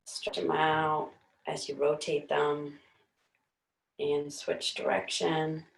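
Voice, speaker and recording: A woman fitness instructor with a sleepy morning voice, calmly leading a warm-up class over a video call. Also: sits low, slow pace, creaky, roomy sound, recorded from a distance, poor audio. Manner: calm instructions with light laughs.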